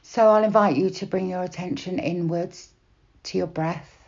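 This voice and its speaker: Female meditation guide, low register, soft and unhurried delivery, plenty of pauses, slightly dull recording. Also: fairly even intonation, vocal fry. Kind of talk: guided body scan meditation, gentle instruction.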